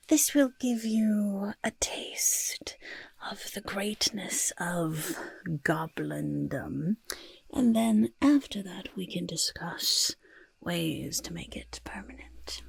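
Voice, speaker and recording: A raspy little goblin croaks softly in a breathy half-whisper. The delivery is slow and swoops up and down, boastful and eager, with fussy repeated words in an ASMR roleplay. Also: female voice, lowish voice, no room sound, full character voice. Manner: speaks of self in third person, fussy, emphatic repetition. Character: boastful, eager goblin potion-peddler of playful temper. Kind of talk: soft-spoken ASMR roleplay.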